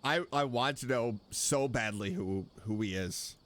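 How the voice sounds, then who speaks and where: A man's voice: medium pitch, unhurried, fairly bright tone. A man doing casual, playful gameplay commentary as a streamer, talking back to the game's characters. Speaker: streamer, gaming host. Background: faint game music.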